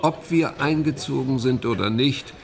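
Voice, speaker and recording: A man's voice: mid-range voice, moderate pace, gravelly, a faint crowd, natural voice. An actor playing an ingratiating, evasive man in a German radio drama, hesitant and always excusing himself. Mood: chatty.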